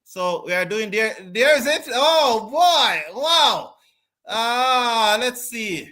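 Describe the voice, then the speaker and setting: A man's voice: very high voice, animated, slow, bright, forceful tone. A man hosting a cricket panel show, chatty and often repeating his words as he starts a sentence. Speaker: host. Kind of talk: panel discussion.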